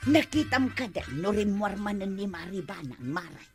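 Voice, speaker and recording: A woman with an Ilocano accent playing a nosy, suspicious village gossip in a radio comedy drama, animated and insistent. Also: mid-range voice, moderate pace, soft background music, natural voice. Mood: suspicious, gossipy.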